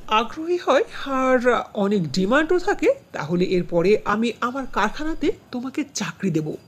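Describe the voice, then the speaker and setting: A woman's voice: fairly high pitch, sing-song, measured pace, husky, full character voice. A woman voicing a businesslike, emphatic man who owns a shop and factory, in a fairy-tale cartoon. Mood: firm, businesslike. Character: male shop and factory owner, businesslike customer.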